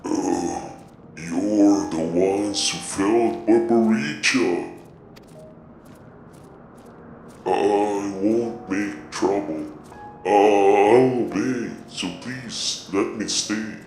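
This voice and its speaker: A man voicing a lowly, wary otherworldly minion in a video game, halting and plain-spoken, in an echoing recording with music. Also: vocal fry, a little mellow, full character voice. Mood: fearful, pleading.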